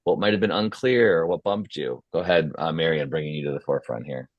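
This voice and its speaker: Echoey, low-voiced man moderating a feedback session. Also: fairly quick. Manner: encouraging, informal, conversational. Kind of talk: casual facilitation and critique. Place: online video call. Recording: echoey, dull video-call audio.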